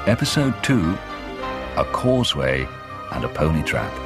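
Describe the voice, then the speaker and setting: A radio announcer introduces a drama. His voice is very deep, sweeping widely in pitch, with clear music behind it. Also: big pitch swings, unhurried, heavily acted. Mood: grave. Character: grave announcer of a ghost story.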